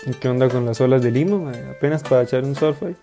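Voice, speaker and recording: A young man, chatting excitedly in slang as he reacts to a video in a small room, speaking into earphones. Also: mid-range voice, quick pace, faint music behind. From Colombia. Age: 20s. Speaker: YouTube reactor. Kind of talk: casual reaction commentary. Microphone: wired earphone mic.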